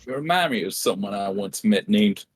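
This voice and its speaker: A man playing a tabletop game, trading playful deadpan jokes in casual chat. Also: on the low side, moderate pace, breathy edge. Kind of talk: casual joking group chat.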